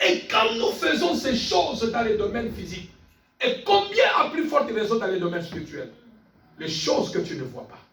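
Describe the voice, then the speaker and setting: A male preacher with a very high-pitched voice delivers a fervent sermon with big swings in intonation. He is insistent and repeats phrases for emphasis. The sound is echoey and picked up at a distance from the mic. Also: sing-song, moderate pace, fairly bright tone. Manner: calls on listeners for amens. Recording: echoey room, distant mic, faint music.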